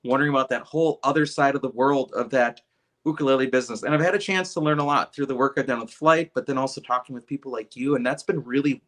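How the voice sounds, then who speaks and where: A man's voice: mid-range voice, fast talker, fairly bright tone. A man hosting a podcast, a music educator, talking in a loose, rambling way with many restarts. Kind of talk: casual conversation.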